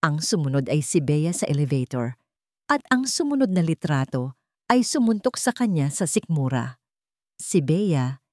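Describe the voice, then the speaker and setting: A woman's voice: fairly low pitch, warm tone, dry recording, clean studio sound. A woman reading a scripted dramatic story as its narrator.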